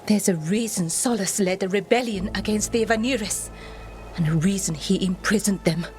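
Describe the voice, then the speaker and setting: An actress playing an earnest female elven magic expert with a serious, measured manner in a fantasy video game. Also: medium pitch, a little mellow, soft background music, lightly acted. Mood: grave. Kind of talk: cinematic video game dialogue.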